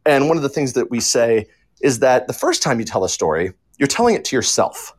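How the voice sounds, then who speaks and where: A man's voice: mid-range voice, husky. A man on a panel explaining the writing process as a show creator, methodical and emphatic.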